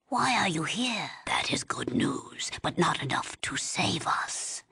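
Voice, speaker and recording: An aged female village elder from a video game, her voice very husky and thick with gravel. She speaks slowly and solemnly, with a formal, halting gravity that pleads for her people. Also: low-pitched, fairly bright tone, exaggerated voice. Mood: reproachful, grave. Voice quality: husky, raspy, breathy. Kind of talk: video game character dialogue.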